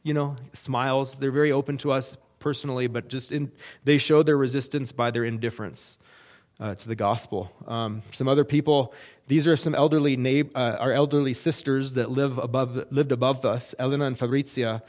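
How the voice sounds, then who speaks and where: A middle-aged man speaks at a middle pitch as a missionary reporting back to a church. He talks earnestly and haltingly, often pausing on fillers and restarting phrases. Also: steady tone, a little mellow. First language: English.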